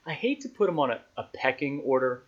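A man's voice: medium pitch, very animated, quick pace. Man in casual interview, dull audio. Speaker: radio play-by-play announcer, podcast guest. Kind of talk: casual conversational interview answers.

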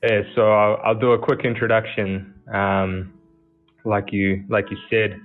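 Guest, New Zealand man, low flat voice, hesitant casual talk, tinny phone line. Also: a little slow, faint music behind. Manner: relaxed, hesitant with repeated starts and ums. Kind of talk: casual chat.

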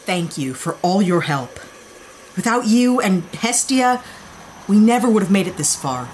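A woman playing a young heroine on the run, playful and teasing, quick to laugh. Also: mid-range voice, very animated, a little mellow, a little hiss or hum. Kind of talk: audio drama acting.

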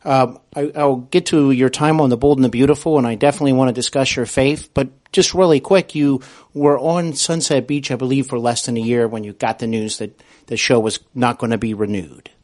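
A man hosting a podcast, asking questions in a casual, somewhat halting interview style. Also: medium pitch, fairly even intonation, darkish tone.

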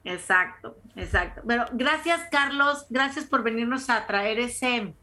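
Mexican lady podcast host, kinda high bright voice, laughs telling her stories. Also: measured pace. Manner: lively, laughing anecdotes. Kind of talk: casual chat.

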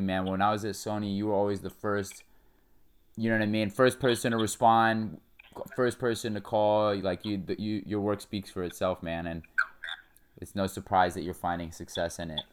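Man, young adult, podcast host, lowish pitch, smooth resonant voice, friendly easygoing chat. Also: steady tone, brisk. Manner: friendly, affirming, hesitant restarts. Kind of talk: casual interview chat.

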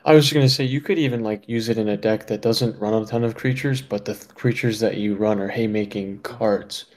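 A man co-hosting a podcast, talking casually with many fillers, often dropping quieter at the ends of his thoughts. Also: on the low side, a little fast. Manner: relaxed, lots of fillers, trails off quietly. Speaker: podcast co-host.

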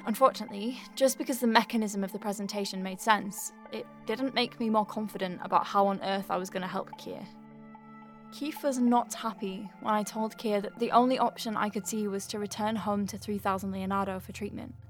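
A woman's voice: medium pitch, steady tone, a little fast, faint music behind, lightly acted. A woman playing an earnest, wry doctor in an audio drama, thinking aloud in a rambling, conversational way as if dictating notes. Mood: thoughtful, explanatory. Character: earnest young woman alien-clinic doctor, flustered and wry. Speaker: doctor dictating a patient letter.

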